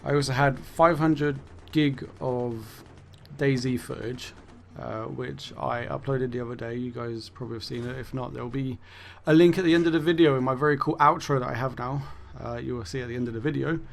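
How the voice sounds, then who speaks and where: A man's voice: mid-range voice, fairly even intonation, a little fast, gravelly, few pauses, a little hiss or hum. A man chatting casually as a gaming YouTuber, rambling and self-correcting, his voice worn and fading. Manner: rambling, self-correcting, swears then laughs it off. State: losing his voice. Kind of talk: casual commentary monologue.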